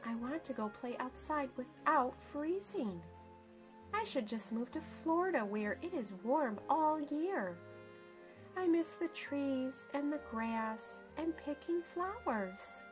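A gloomy little storybook schoolgirl played by a woman, high and plaintive. She complains about the cold with lots of rise and fall. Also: lively intonation, takes it slowly, faint music behind. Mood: glum, wishful. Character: chilly, gloomy little schoolgirl in picture book.

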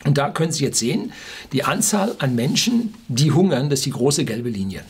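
A man in his sixties from the Ruhr in Germany talks as a video blogger explaining his opinions. His voice is markedly husky and sits at an average pitch. He speaks informally and with emphasis, posing questions and adding clipped asides. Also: expressive, a little fast, darkish tone. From Ruhr area, Germany. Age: 60s. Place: small furnished room indoors.

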